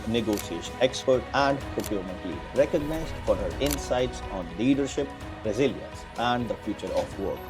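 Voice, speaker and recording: A man hosting a podcast interview, chatting loosely with fillers and restarts. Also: medium pitch. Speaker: podcast host. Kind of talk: casual interview chat.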